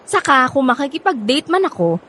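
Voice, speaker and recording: A woman's voice: sits high, big pitch swings, fairly quick, a bit bright, a little hiss or hum. A woman playing a sassy, skeptical young woman in a radio drama, scolding and sarcastic. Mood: sarcastic, dismissive.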